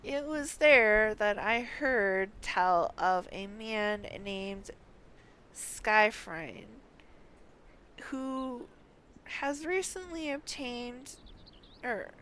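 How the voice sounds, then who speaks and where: A woman reading game quest dialogue as a curious, self-important female scholar with a formal, deliberate, sometimes halting delivery. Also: on the high side, expressive, a bit bright, heavily acted. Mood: measured, curious. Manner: formal, deliberate, halting over odd words. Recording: clearly noisy background.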